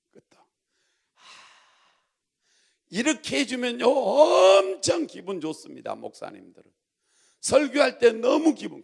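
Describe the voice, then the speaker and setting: Male pastor, high creaky voice, exhortatory and repetitive call-and-response sermon delivery. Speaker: pastor preaching to congregation.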